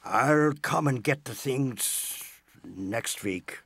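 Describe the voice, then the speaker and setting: An actor playing an exasperated, eccentric older professor and magician in an audio play, theatrical and emphatic. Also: male voice, mid-range voice, animated, drawn-out words, gravelly, a bit bright, a little hiss or hum, exaggerated voice. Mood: subdued.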